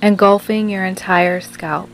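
Female meditation guide, medium pitch, very unhurried soothing scripted read over music. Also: fairly even intonation, gravelly. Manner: slow, soothing, measured delivery with long pauses. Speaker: meditation guide and podcast host.

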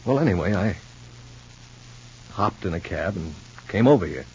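A dull old radio recording with a faint hum holds a worried, earnest brother. His voice is low and he feels his way through sentences haltingly, in sincere soap opera style. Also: lively intonation, a little mellow. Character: earnest, worried, hesitant brother, a decent ordinary fellow.

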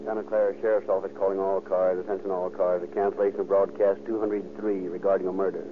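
An actor playing a male police dispatcher reading a clipped, deadpan official bulletin over police radio. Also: low voice, fast talker. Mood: impassive. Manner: deadpan, monotone. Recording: very noisy, narrow police radio transmission.

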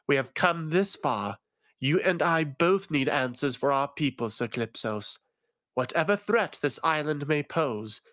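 A man's voice: fairly high pitch, fairly bright tone, no room sound, pitched above the performer's own voice. A man voicing a determined woman who is a ship captain and senator, speaking earnestly and reassuringly.

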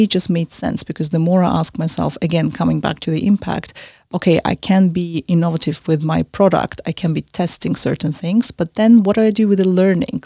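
A woman's voice: sits low, darkish tone, dry recording, clean studio sound. A young woman from Central Asia, raised in Austria, answering podcast questions as a fashion designer and consultant, talking fluently and earnestly.

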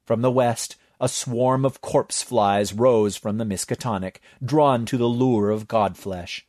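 A man reading a horror comedy story aloud in a measured storytelling manner. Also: mid-range voice, a little mellow, no echo, clean studio sound. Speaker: narrator. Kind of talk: scripted story narration.

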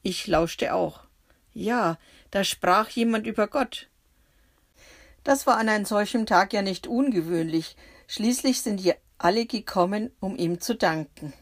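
A woman narrating a bible story for children in the first person, gentle and reflective. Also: mid-range voice, measured pace.

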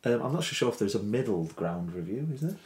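Young northern lad hosting a podcast, casual and jokey, keeps repeating himself, middling pitch. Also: male voice, very animated, fast, a little mellow. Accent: Northern English. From England. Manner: chatty, repeats words and restarts, jokey. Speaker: podcast co-host. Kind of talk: casual chat.

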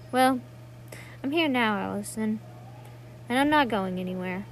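A woman's voice: medium pitch, a little hiss or hum, natural voice. A woman playing an earnest, pleading young sister in a dramatic monologue reading.

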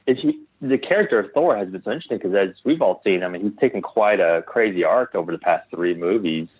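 Male podcast guest, lowish voice, casual and halting, restarts often, heard through a narrow phone-like connection. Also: expressive, husky, dry recording. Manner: halting, tentative, trails off and restarts. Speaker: interview guest, clothing designer. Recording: tinny phone-like line.

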